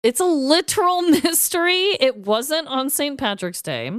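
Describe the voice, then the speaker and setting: An American woman co-hosting a podcast, telling stories in a casual, comedic, giggly and expressive way. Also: high voice, unhurried, dry recording, clean studio sound. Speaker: podcast co-host. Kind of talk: casual comedic storytelling and chat.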